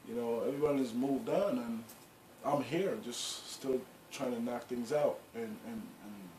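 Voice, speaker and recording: Middle-aged man, mid pitch, rambling casual storyteller, echoey room. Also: steady tone, a little slow, mellow. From Guyana. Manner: rambling, lots of "you know" and restarts. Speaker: clothing brand founder. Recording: echoey room with faint background noise.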